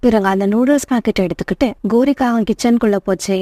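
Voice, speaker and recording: A woman's voice: fairly high pitch, quick pace, dark tone, no echo, clean studio sound. A woman narrating a scripted children's story as the storyteller.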